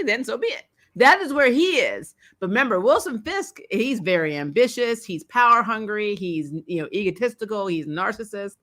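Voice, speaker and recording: A woman's voice: fairly high pitch, sharp tone. A woman hosting a casual chat with viewers, speaking informally and with emphatic opinions. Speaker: host.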